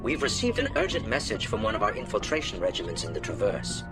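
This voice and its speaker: A man's voice: fairly low pitch, wildly swooping pitch, fast talker, over loud music. An actor playing a stern, formal male alien councilor giving an official briefing in a video game. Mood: grave, official.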